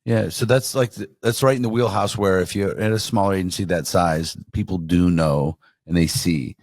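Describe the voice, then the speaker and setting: Very deep-voiced man hosting a podcast. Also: steady tone, normal speed, a little mellow, no echo, studio quality. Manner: conversational, loose rambling questions. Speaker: podcast co-host. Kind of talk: casual podcast interview.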